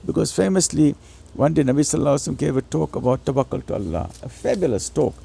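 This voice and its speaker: Guy doing an informal religious talk, really mellow dark tone but raspy, a bit higher pitched, drives points home by repeating. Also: animated, racing. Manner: didactic, emphatic, conversational with repeated phrases. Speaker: religious lecturer and doctor. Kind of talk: informal religious lecture with life lessons.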